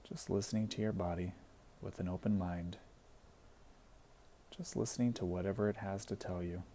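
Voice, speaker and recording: Low, gravelly man guiding meditation. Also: slight background noise. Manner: gentle, soothing, instructional, with deliberate pauses. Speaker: meditation guide. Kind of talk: guided body scan meditation.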